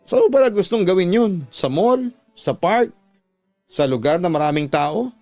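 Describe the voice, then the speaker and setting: A man's voice: high voice, lively intonation, normal speed, darkish tone, no room sound, faint music behind, pitched above the performer's own voice. Man putting on a blunt, teasing Filipina friend. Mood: teasing, incredulous. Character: blunt, teasing young female best friend.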